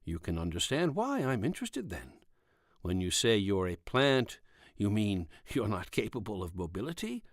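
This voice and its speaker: A gentle military doctor in an audiobook, acted out by a male narrator. He questions his patient with measured, puzzled care. The pitch moves freely and the sound is very dry. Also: big pitch swings, dry recording. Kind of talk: audiobook character dialogue.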